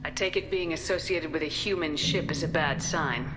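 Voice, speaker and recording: A woman's voice: deep voice, normal speed, close to an everyday voice. A woman playing a firm, loyal starship commander in her thirties in a video game, direct and composed. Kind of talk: video game cutscene acting. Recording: noisy ambience behind.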